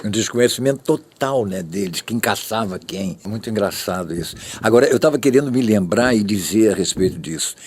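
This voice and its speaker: An older Brazilian man reminiscing casually, in halting phrases dotted with small conversational tags. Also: on the low side, animated, darkish tone, breathy edge. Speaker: interviewee, veteran of radio and theatre.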